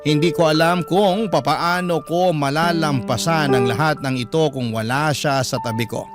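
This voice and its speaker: A man's voice: on the high side, normal speed, over loud music. A man with a Filipino accent reading scripted, dramatic first-person narration as a radio storyteller.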